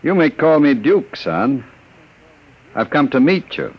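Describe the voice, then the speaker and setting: An actor playing a smooth, menacing gangster who talks in a cold, mocking way in a 1950s radio drama. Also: male voice, medium pitch, very animated, unhurried. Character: smooth menacing male gangster, middle-aged. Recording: muffled old radio broadcast.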